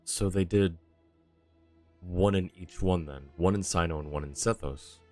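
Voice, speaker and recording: Deep-voiced man streaming, thinking aloud. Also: unhurried, darkish tone, faint music behind. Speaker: game streamer. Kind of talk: casual live stream commentary.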